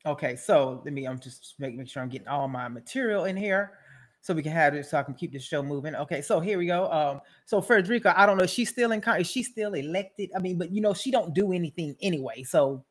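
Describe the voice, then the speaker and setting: A woman's voice: low-pitched, quick pace. A woman giving casual, profane roast commentary as a show host, brash and mocking, rambling and repeating her phrases.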